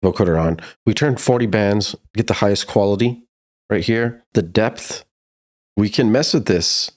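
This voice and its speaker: A man's voice: lowish voice, normal speed. A man narrating a casual music production tutorial, explaining things step by step in an informal way.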